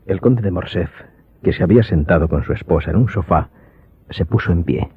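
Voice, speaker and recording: A man's voice: low voice, a little fast, slightly rough, no echo. A man reading scripted dramatic narration as the narrator of a radio drama. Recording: muffled phone-like audio, music bed.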